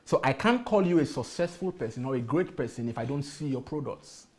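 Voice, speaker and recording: A man's voice: mid-range voice, expressive, a little fast. A man speaking at a motivational workshop, emphatic, repeating key phrases and putting rhetorical questions to his audience.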